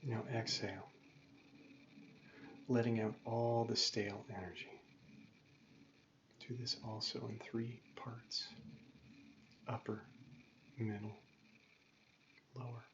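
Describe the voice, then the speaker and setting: Male meditation teacher, dull lo-fi recording, faint background noise, calm, gentle, measured, pausing often. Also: medium pitch. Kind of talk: guided meditation instruction.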